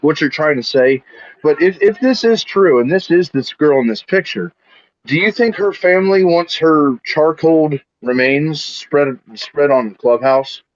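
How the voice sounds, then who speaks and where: A man questioning others in an online audio room, measured with some stammering. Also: on the high side, lively intonation, no room sound. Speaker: debate participant. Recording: dull lo-fi call audio.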